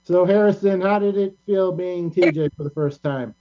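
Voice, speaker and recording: A man's voice: high voice, moderate pace. A man hosting a casual group chat with his cast, encouraging in manner, over an online call with humming, lo-fi sound. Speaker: show host and director. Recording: dull lo-fi call with mains hum.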